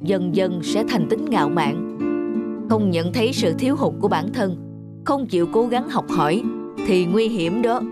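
A man voicing a patient, wise father in a cartoon, reasoning and gently teasing. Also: high-pitched, animated, moderate pace. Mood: coaxing, reasoning. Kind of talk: cartoon voice acting. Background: music.

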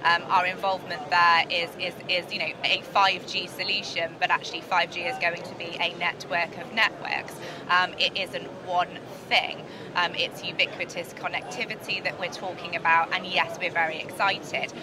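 British woman doing a trade show interview, measured corporate talk, lots of hall noise behind her. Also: fairly low pitch, flat delivery. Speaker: sales and marketing director being interviewed. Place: large indoor trade show floor. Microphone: handheld interview microphone at chest level. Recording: noisy busy exhibition hall.

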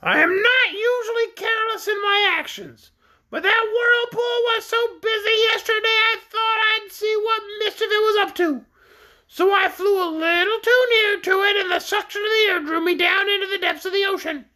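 A woman voicing a proud, indignant, chatty bird-like creature from a storybook, with a shrill, squawky voice and a haughty, long-winded manner. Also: normal speed, sharp tone. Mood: haughty, recounting. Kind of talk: storybook character voice in read-aloud.

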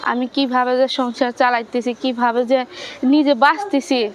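A woman's voice: high-pitched, steady tone, normal speed, a little hiss or hum. A young woman with a Bangladeshi accent answering informally in an interview about her own life, often checking that the listener follows. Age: young adult. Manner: repeatedly checks listener understands. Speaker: interview guest, domestic worker.

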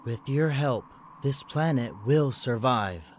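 An actor playing a stoic, righteous giant alien robot in a game cutscene, speaking in a solemn, deliberate, formal manner. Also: male voice, mid-range voice, lively intonation, slight background noise. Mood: grave, calm. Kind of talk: animated game cutscene dubbing.

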